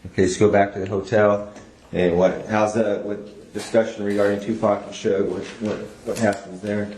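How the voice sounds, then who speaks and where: Man, very deep voice, police interviewer, halting step-by-step questions, little inflection, echoey recording. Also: level tone, darkish tone. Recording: echoey room tape, faint noise.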